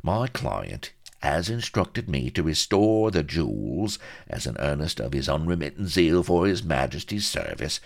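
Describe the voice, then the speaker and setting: A man voicing an oily, smirking fixer in an audiobook, smooth, slangy and ingratiating. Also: rumbling low, big pitch swings, unhurried, pitched above the performer's own voice, heavily acted. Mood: smooth, sly. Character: oily, smirking, wheedling male fixer and blackmailer.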